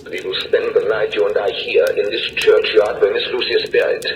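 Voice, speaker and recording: An actor playing a solemn, commanding foreign professor who hunts vampires, speaking with ominous, emphatic, halting phrasing in 1930s radio horror style on an old noisy recording. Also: male voice, lowish voice, steady tone, distant mic, close to an everyday voice.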